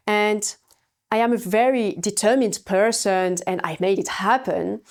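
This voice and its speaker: Slow-speaking French-accented woman coach. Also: medium pitch, a little mellow. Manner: earnest, personal storytelling with light laughs. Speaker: language coach. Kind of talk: webinar talk.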